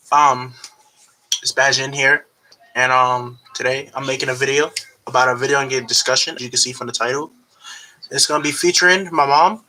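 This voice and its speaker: An eighteen-year-old man hosting his video, relaxed and hesitant with many ums. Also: on the high side, poor audio. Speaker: host. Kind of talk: casual video intro.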